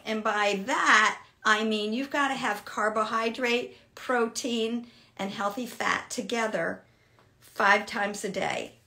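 Bright-voiced woman in her sixties. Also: a little high. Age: 60s. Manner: chatty, animated, laughs often. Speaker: fitness host. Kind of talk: casual live Q&A. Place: home room with shelves, indoors.

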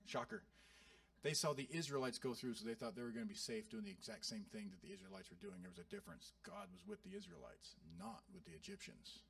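A male pastor in his thirties giving an animated, joking sermon to his congregation. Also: high voice, fast, gravelly, dry recording, distant mic. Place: church sanctuary.

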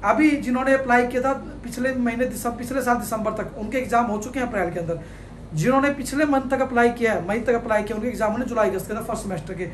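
Guy in his 30s on a lapel mic, rattling off college advice fast, bit of room echo and faint hum. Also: extremely high pitch. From India. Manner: fast, energetic, gestures with hands while explaining. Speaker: education counselor. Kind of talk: direct-to-camera advice video. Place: small indoor studio. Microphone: clip-on lapel mic. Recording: echoey room with faint ambience.

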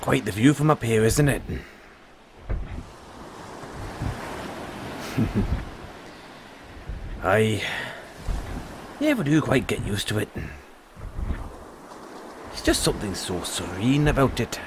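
A man voicing a friendly, philosophical dragon rider and gentle mentor in an audio roleplay, speaking in a folksy, earnest, musing way. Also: medium pitch, sing-song, unhurried, pauses often, background noise. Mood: serene, wistful. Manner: folksy, earnest, musing, says "aye" and "lad". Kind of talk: audio roleplay addressed to the listener.

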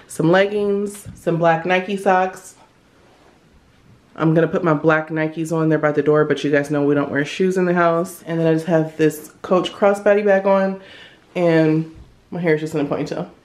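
A woman's voice: deep voice, darkish tone. A woman in her thirties vlogging casually, talking directly and familiarly to her viewers. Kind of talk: casual chatty vlog. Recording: music behind the voice. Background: background music.

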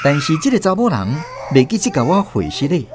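A Taiwanese man narrating a scripted radio drama in an expressive storytelling manner. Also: on the high side, brisk, raised voice. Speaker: narrator and host. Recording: noisy background sound effects.

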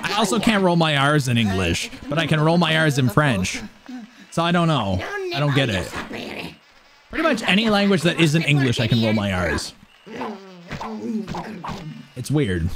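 A man's voice: fairly high pitch, very animated, a little slow, pauses often, a crowd in the background. A man in his thirties on a headset, chatting casually and playfully while speedrunning a game, muttering little nonsense syllables as he plays. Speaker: speedrunner streaming. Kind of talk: casual live-stream chat while playing. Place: home room in front of a webcam. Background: game music.

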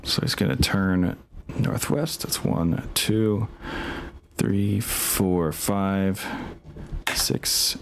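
A man's voice: deep voice, steady tone, drawn-out words, a little hiss or hum. A man running a tabletop game as game master, casually thinking aloud in an easygoing way. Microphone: online group video call. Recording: music behind the voice. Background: music.

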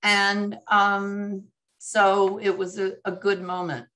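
Slow older woman with a cold. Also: mid-range voice, little pitch movement, fairly bright tone, rough recording. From United States. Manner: slow, reflective, many ums and uhs. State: recovering from a cold. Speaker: former commission moderator. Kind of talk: webinar panel answer. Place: home living room. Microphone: video call.

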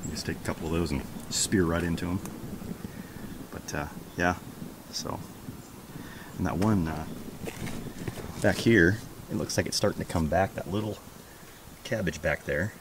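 A middle-aged American man giving a casual, unhurried, rambling garden tour, recorded outdoors on a handheld camera. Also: sits low, noisy background. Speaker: homesteading vlogger. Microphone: camera held at arm's length.

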